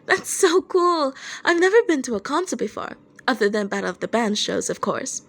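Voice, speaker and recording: A woman playing an eager, innocent, starry-eyed boy drummer with a sweet, excited manner in an audio story. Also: high voice, normal speed, voice pushed up, heavily acted.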